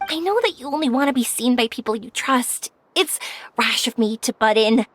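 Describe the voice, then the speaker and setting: An actress playing a kind, spirited young woman in a video game, speaking earnestly and gently over music. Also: high voice.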